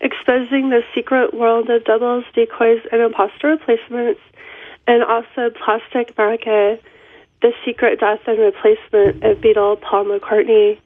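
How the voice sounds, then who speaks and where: A woman's voice: a little high, little pitch movement, moderate pace, dry recording. A middle-aged woman, congested from a cold, calling in to a radio interview, chatty and laughing between thoughts. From Seattle area. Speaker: author and guest caller. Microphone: telephone. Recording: tinny dry phone line.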